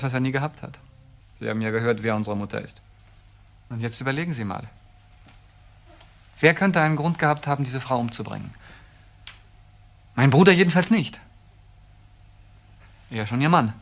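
A man's voice: mid-range voice, lightly acted. An actor playing a defiant, accusatory young man defending his brother in a German crime radio drama. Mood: defiant, accusing.

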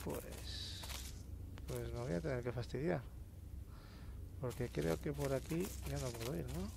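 A man's voice: mid-range voice, measured pace, vocal fry, background noise. A man with a Castilian Spanish accent giving casual, informal gameplay commentary as a let's play host. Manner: informal, sweary, grumbling to himself. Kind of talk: casual gameplay commentary, reads in-game notes aloud.

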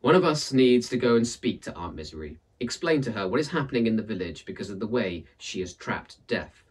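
Man as a worried, husky villager. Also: lowish voice, lively intonation, brisk, recorded from a distance. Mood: concerned. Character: worried, pleading village folk, some old.

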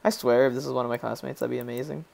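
Gravelly teenage boy with Polish accent. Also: mid-range voice, fairly even intonation, rapid-fire, a little mellow. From Poland. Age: teens. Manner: joking, rambling, reads game lines in put-on voices. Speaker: gaming YouTuber. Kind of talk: casual let's play commentary.

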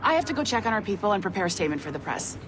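A woman's voice: mid-range voice, sing-song, very fast, background noise, lightly acted. A woman voicing a peppy office boss. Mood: chipper. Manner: upbeat, peppy, forced cheer. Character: chipper overachieving female office boss. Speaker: game character.

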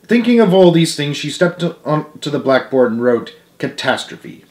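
A man's voice: fairly high pitch, sing-song, normal speed, darkish tone. A young man reading a children's novel aloud at home, stumbling over words and correcting himself now and then. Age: young adult. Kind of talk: amateur read-aloud narration. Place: living room at home, indoors.

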